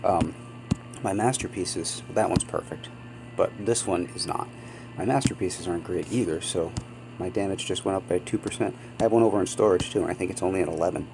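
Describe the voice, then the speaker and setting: A man with a very low voice talks through his gameplay in a loose, rambling way, full of ums and little apologies. He sounds sniffly from a cold. A steady hum sits under the home recording. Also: fairly quick, gravelly. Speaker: gaming channel host. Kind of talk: casual gameplay commentary.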